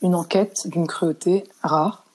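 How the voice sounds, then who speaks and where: A woman with a slightly low-pitched voice hosts a podcast interview. She speaks conversationally, putting questions to a guest in an informal spoken flow. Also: steady tone, slow pace, darkish tone.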